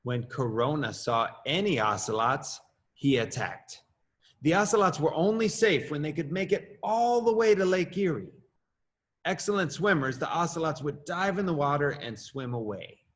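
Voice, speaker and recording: A middle-aged man, an assistant principal, reading his own children's story aloud with lively rises in pitch. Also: normal speed. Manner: expressive, lively rises on questions and exclamations.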